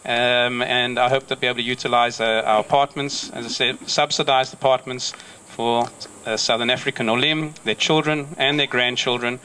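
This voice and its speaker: A man's voice: mid-range voice, steady tone, moderate pace, hardly any pauses, sharp tone, slight background noise, rough recording. A man speaking at a gathering about a subsidized housing program, often checking in with "right?" and using fillers. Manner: repeats "right?" and hedges with fillers. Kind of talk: public announcement speech.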